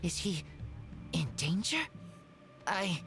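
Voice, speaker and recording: A wolf-raised boy in an anime game, earnest and loyal. The voice is low and slow, with halting, simple phrases. Music is clearly heard behind. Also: lively intonation, fairly bright tone, exaggerated voice. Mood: worried, earnest. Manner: halting, simple short sentences. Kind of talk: anime-style video game voice acting.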